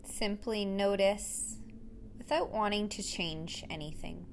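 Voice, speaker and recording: A woman instructor leading a guided meditation in a gentle, unhurried way with pauses between phrases. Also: medium pitch, a little hiss or hum.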